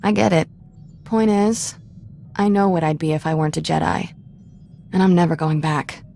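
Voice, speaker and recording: An actress playing an irreverent, streetwise young Jedi in a video game, speaking in a wry, informal way. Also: female voice, low-pitched, fairly even intonation, normal speed, gravelly, mellow, slight background noise. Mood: wry, teasing. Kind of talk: video game cutscene acting.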